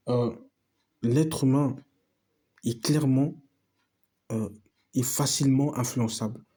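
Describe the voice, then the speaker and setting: Emphatic, slangy man with a husky voice. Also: mid-range voice, dark tone. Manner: emphatic, repetitive, slangy, addresses viewers as friends. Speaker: video host. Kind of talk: casual opinion monologue to camera.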